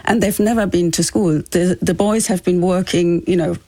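A woman's voice: fairly low pitch, steady tone, normal speed, mellow, dry recording. Woman explaining in a dry studio. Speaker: education charity co-founder, interview guest. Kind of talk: explanatory interview talk. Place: radio studio.

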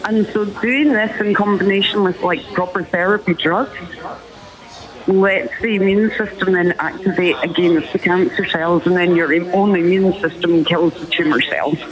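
A woman's voice: fairly low pitch, normal speed, low-quality recording. A woman with a Scottish accent, a cancer researcher, explaining her work plainly as a radio guest on a remote line from a park. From raised in the north of Scotland. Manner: plain, explanatory, slightly self-deprecating. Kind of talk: phone-in radio interview. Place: outdoors at a community park. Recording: crowd noise behind, remote line.

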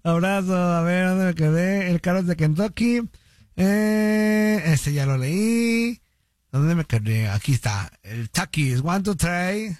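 This is a man with a notably high voice for his sex. He talks casually, as a host chatting on a radio show. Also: expressive, slow pace, a little mellow, no room sound, clean studio sound.

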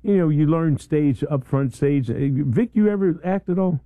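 A man's voice: fairly high pitch, moderate pace, dry recording, narrow, tinny audio. A middle-aged man hosting a morning talk radio show, chatting casually and rambling through stories with many restarts. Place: radio studio. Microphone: studio microphone.

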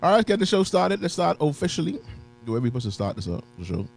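A man's voice: fairly high pitch, sing-song, fast talker, gravelly. A man hosting a live radio show, bantering casually and laughing often.

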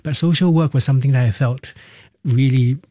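A mellow, warm-toned man in his fifties with a Singaporean accent speaks as an interview guest. He tells his story candidly and reflectively, in short phrases that often restart. The sound is close and dry, with no room around him. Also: medium pitch, brisk, dry recording. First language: Cantonese. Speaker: interview guest, musical theatre performer.

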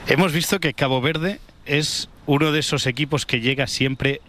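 A man's voice: fairly high pitch, normal speed, a bit bright. A man with an accent from Spain, asking conversational interview questions and rephrasing them as he goes. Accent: Spain Spanish. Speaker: sports interviewer, co-host.

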